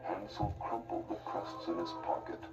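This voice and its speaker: A man's voice: lowish voice, moderate pace, highs cut off. A man giving dramatic scripted narration of a fairy tale as the storyteller. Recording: roomy, distant, loud music behind.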